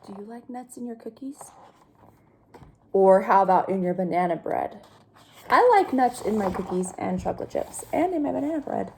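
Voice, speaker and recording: A woman teacher reading a picture book aloud, pausing for simple explanations and questions to young listeners. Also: medium pitch, animated, gravelly, warm tone, a little hiss or hum.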